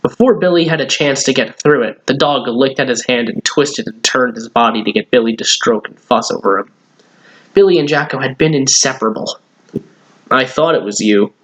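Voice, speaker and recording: A man's voice: medium pitch, a little fast, a bit bright, poor audio. A man reading a children's storybook aloud, occasionally stumbling and rereading a word. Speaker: story reader.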